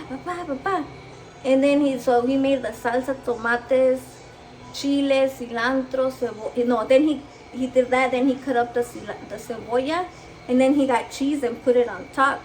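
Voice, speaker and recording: A woman's voice: high-pitched, poor audio. A woman with a Mexican accent, Spanish her first language, chatting casually and profanely while cooking at home and switching between English and Spanish. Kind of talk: casual cooking vlog chat. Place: home kitchen.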